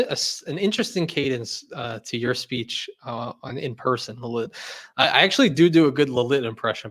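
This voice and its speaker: Young male streamer, mid-pitched, loose and rambling, reads chat aloud. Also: fairly bright tone. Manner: rambling, many fillers and restarts, frequent laughs. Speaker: host of a credit card livestream. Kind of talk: casual livestream chat reading comments.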